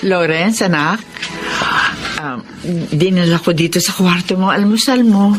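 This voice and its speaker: A woman's voice: lowish voice, a little slow, lightly acted. A woman acting as a loving, hurt middle-aged Filipino mother in a radio drama, tender and pleading. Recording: music under the voice.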